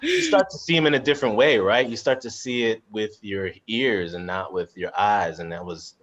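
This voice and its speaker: A man's voice: fairly low pitch, very animated, moderate pace, fairly bright tone. A man chatting casually as he moderates a panel, reflective and often searching for words, heard over a video call. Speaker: actor and moderator of a cast discussion. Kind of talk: casual conversational panel chat. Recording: dull, lo-fi video call sound.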